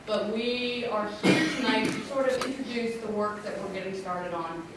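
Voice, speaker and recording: A woman with a loud, clear voice presenting a water infrastructure plan at a public community meeting. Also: medium pitch, steady tone, normal speed. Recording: echoey room, distant mic, background noise.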